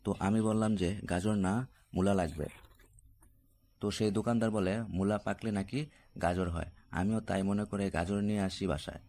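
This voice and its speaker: A man playing a naive grown boy in a cartoon, with a deep voice. He talks colloquially and plaintively, like a son grumbling to a friend. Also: fairly even intonation, normal speed, warm tone, natural voice. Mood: aggrieved. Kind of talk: casual cartoon dialogue.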